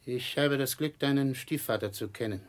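A man plays a self-important boarding school tyrant in a vintage radio play. His voice sits at a middling pitch, and he speaks with deliberate, domineering threat. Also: lightly acted. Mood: menacing. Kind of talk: 1960s German radio drama acting.

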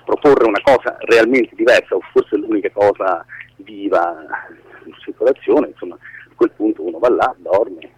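Husky, low-voiced man being interviewed. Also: a little mellow, muffled recording. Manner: halting, searching for words. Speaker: guest, screenwriter. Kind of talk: casual live radio interview.

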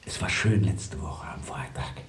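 A man's voice: deep voice, animated, unhurried, in a whisper. An actor playing a frightened, indignant man in hiding in a German radio drama, plaintive and anxious. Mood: tender, plaintive.

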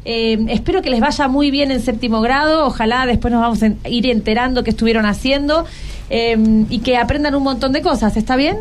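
A woman's voice: on the high side, a little fast, slight background noise. A woman with a Buenos Aires accent, hosting a casual radio interview with children in a conversational, question-prompting way. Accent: Argentine, Buenos Aires. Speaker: radio host.

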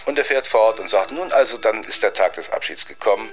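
A man's voice: fairly low pitch, lively intonation, fairly quick. A man telling a comic story in a colloquial, wry and digressive way. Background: music.

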